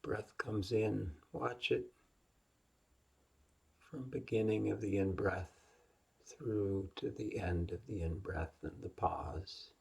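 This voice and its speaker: A man leading a guided yoga nidra meditation as the teacher, speaking gently and unhurriedly with long pauses in a husky, breathy, gravelly voice. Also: lowish voice, fairly even intonation.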